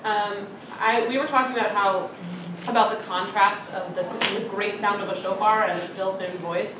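A woman's voice: medium pitch, steady tone, normal speed. A woman in a class discussion, speaking thoughtfully with many fillers. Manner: thoughtful, with many ums and likes. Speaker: student in a class. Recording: echoey room with background noise.

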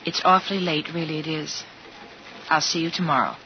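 An earnest young woman in a fifties radio play. She speaks shyly and haltingly. The recording is dull, with clear noise around her voice. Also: deep voice, steady tone. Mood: tender, hesitant. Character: earnest, shy young woman, tentative and tender. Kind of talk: 1950s radio drama acting. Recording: muffled noisy 1950s radio recording.

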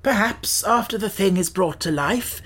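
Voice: mid-range voice, expressive, moderate pace, a bit bright, pitched above the performer's own voice. A storybook reader voicing a confident living male scarecrow who explains things in a reasoned way. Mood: thoughtful.

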